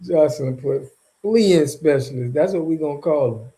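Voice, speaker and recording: A man hosting a casual livestream, reacting to viewer comments in an animated, slangy and mocking way. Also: a little high, normal speed, rough recording. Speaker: streamer reacting to viewer chat.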